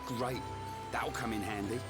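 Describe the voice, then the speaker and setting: A man's voice: mid-range voice, fairly even intonation, measured pace, close to an everyday voice. A man playing a brash, teasing and blunt young fighter in a video game. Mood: sarcastic. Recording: music behind the voice.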